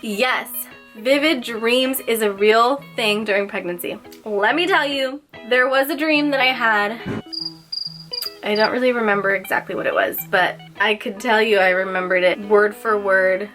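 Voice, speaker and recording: A woman's voice: medium pitch, moderate pace, a bit bright. Young woman, nasal, congested, chatty, filler-heavy, faint music behind. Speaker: vlogger. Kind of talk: casual personal vlog.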